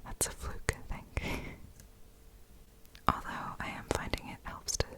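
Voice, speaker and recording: A young woman whispering softly while she practises a language, musing aloud and chuckling at her own slips. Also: measured pace. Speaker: ASMR creator.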